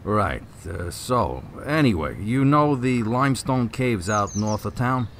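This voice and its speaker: An earnest police officer in a radio drama. His voice is somewhat low but varies a lot in pitch, with a slightly hesitant delivery. Faint birdsong and outdoor noise are behind him. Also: animated, measured pace, fairly bright tone.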